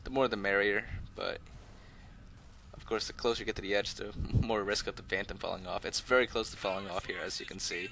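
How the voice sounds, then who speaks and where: A man's voice: fairly low pitch, fairly even intonation, fairly quick, creaky, pushed and bright, a little hiss or hum. A man giving casual, unscripted gameplay commentary as a video host, talking in an easygoing, rambling, conversational way. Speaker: host of a gaming video channel.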